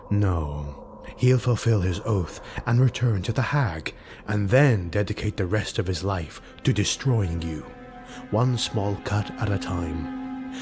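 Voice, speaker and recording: Man voicing a sly, low-voiced mocking rogue. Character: mocking, sly rogue retainer, smooth and deferential.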